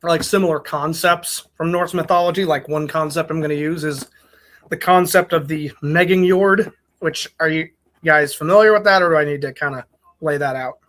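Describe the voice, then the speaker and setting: Higher-voiced man, bright and forceful. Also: normal speed. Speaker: host. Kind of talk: casual group chat.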